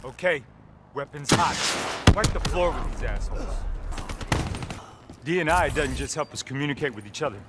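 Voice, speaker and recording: A man's voice: a little high, animated, normal speed, long pauses, very noisy, close to an everyday voice. A man playing a brash, foul-mouthed soldier mentor in a video game, talking in a slangy, brotherly, swaggering way. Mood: confident, commanding. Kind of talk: video game cutscene acting.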